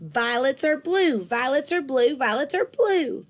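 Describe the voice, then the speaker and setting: Woman doing eager, high-pitched schoolkids calling out. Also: lively intonation, measured pace. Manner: chanting, calling out rhymes. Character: loud, excited classroom of young children calling out.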